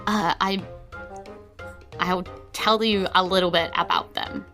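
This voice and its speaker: A woman's voice: on the low side, steady tone, slow pace, sharp tone, soft background music, exaggerated voice. A woman voicing a nervous, bookish library assistant in a tabletop game, confiding and rambling hesitantly. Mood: hushed warning.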